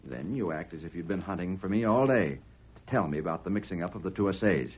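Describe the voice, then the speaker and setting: An actor playing a greedy, domineering swindler in a 1940s radio western, calculating and bossy. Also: male voice, low voice, animated, fast, husky. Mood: commanding, calculating. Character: scheming, greedy, bossy Eastern swindler villain. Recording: dull, muffled old radio recording.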